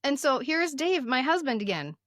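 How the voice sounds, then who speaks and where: A woman's voice: high voice, sing-song, normal speed, fairly bright tone, no echo, studio quality. A woman in her fifties speaking as a podcast host, telling stories candidly in casual chat and sometimes reading aloud. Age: 50s. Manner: candid, chatty storytelling.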